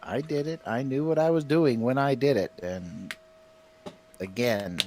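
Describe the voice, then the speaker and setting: A man's voice: medium pitch, measured pace, background noise. A man in his fifties hosting a casual chat while painting, full of self-mocking jokes and banter. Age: 50s. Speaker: stream host.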